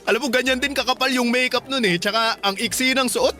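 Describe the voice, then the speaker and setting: An actor with a Filipino accent playing a tactless, teasing young market porter in a radio drama. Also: male voice, very high-pitched, brisk, sharp tone, soft background music. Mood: mocking amusement. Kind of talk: Tagalog radio drama acting.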